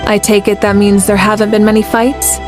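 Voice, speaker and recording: A woman voicing a confident leader in a video game who speaks with warm assurance. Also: mid-range voice, level tone, brisk, lightly acted. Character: confident adult woman leader who cares for her people. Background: game music.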